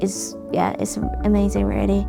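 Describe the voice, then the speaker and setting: A twenty-year-old woman racing driver speaking in relaxed interview soundbites. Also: medium pitch, moderate pace, creaky, music in the background.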